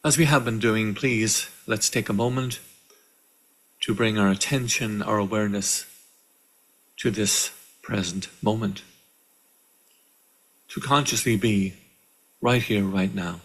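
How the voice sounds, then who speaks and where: A man leading a guided meditation in a calm, measured way, with deliberate pauses between short phrases. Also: lowish voice, low-quality recording. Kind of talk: guided meditation, slow and instructional. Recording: live online session.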